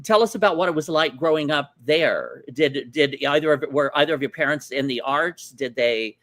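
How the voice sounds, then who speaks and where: Dry-sounding man asking halting questions. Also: a little high, a bit bright, dry recording. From Indiana, USA. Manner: halting questions full of ums. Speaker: co-host. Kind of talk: live talk show interview. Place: restaurant.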